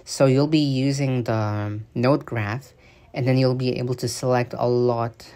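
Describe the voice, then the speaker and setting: A man's voice: medium pitch, steady tone, a little slow, dark tone. A man giving casual, loose commentary on new game update features, with many fillers and restarts. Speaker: gaming news video creator. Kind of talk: casual explanatory commentary over gameplay footage.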